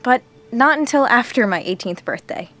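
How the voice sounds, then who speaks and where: Radio drama heroine: actress as devoted, troubled teen girl, highish voice, soft music behind. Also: lively intonation, moderate pace. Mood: tender. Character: Betty, sincere troubled teenage girl, devoted. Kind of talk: audio drama acting. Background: soft music underneath.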